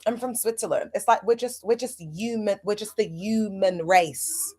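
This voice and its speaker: A woman hosting a livestream, talking in a casual, animated way, full of rhetorical asides. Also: medium pitch, moderate pace, breathy edge. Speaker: livestream host.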